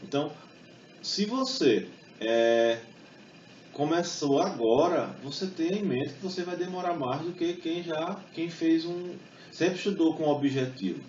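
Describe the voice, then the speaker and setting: A man's voice: a little high, measured pace, a little mellow, slight background noise. Man speaking in an echoey hall. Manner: conversational, many fillers and tag questions. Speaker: speaker giving a talk. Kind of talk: public talk with exam study tips. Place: event hall. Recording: distant echoey hall mic, dull sound.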